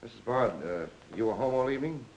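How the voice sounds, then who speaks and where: An actor playing a businesslike police lieutenant in a 1940s crime film, measured, polite and probing. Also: male voice, medium pitch, darkish tone, natural voice, poor audio. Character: steady, businesslike police lieutenant questioning witnesses. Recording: hissy old 1940s film soundtrack.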